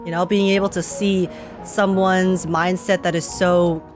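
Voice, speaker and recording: A woman's voice: lowish voice, level tone, unhurried, over music. A young woman streaming a game, joking sarcastically and laughing often. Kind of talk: casual reaction commentary.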